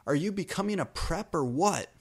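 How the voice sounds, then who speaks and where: A man voicing a melodramatic, petulant teenage goth girl in a story reading, exclaiming loudly. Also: fairly high pitch, animated, normal speed, gravelly, husky, pitched above the performer's own voice, exaggerated voice. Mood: indignant.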